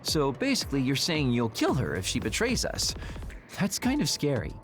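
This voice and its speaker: A man's voice: mid-range voice, wildly swooping pitch, moderate pace, over music. A man playing a glib, teasing young man under suspicion in an English video game dub, flippant and wry.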